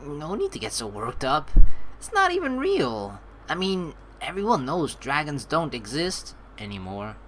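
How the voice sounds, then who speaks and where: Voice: medium pitch, a little slow, slight background noise. A game streamer reading story dialogue aloud and throwing in joking, sarcastic reactions. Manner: animated, joking, sarcastic asides. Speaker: let's play streamer. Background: game music.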